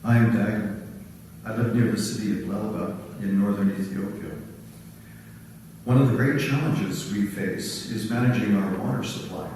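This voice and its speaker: A practical farmer describing his hard land, read by a man in a matter-of-fact way. The voice is fairly low. It rings from far off in a large church. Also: normal speed, a little mellow, very echoey, long reverb tail, slight background noise, lightly acted. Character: practical farmer battling drought and floods. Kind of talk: amateur church drama reading.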